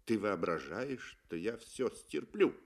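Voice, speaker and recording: A bitter, cowardly husband acted by a man speaks in a low voice. He is jealous and accusing, with clipped phrases, as in a 1960s radio play. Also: big pitch swings, slow pace, vocal fry, darkish tone, heavily acted.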